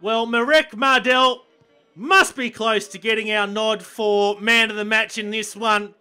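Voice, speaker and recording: A high-pitched Australian man calls football highlights in a very bright, sharp voice. He keeps a steady commentary flow and jumps up in energy when the ball nears the goal. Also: measured pace, clean tone, soft background music. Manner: excitable bursts at chances and goals. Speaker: match commentator.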